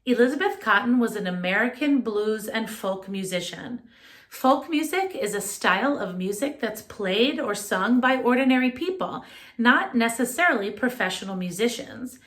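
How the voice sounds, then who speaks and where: A woman music teacher leading a children's lesson, speaking in a clear, instructive way straight to young listeners. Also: a little high, lively intonation, moderate pace, fairly bright tone. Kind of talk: children's music lesson and read-aloud narration.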